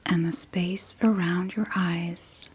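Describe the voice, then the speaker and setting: A woman softly guiding a sleep meditation in a breathy ASMR whisper, soothing and gentle, with long pauses between short phrases. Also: fairly low pitch, fairly even intonation. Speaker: meditation guide.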